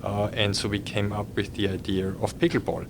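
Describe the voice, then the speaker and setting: Austrian guy, cruise exec, lowish monotone voice, lots of uhs, humming noise behind. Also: a little fast. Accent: Austrian German. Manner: hesitant, many fillers and restarts. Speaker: cruise line executive. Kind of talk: podcast conversation.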